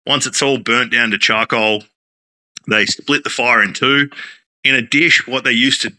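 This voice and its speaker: A man's voice: medium pitch, steady tone, a little slow, sharp tone, low-quality recording. A man, a chef talking casually as a guest, rambling with many fillers and restarts. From Australia. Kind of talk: casual interview talk.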